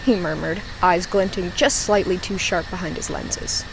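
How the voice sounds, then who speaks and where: Suspenseful woman narrator over clear ambient noise. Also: on the low side, steady tone, moderate pace. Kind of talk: scripted horror audiobook narration.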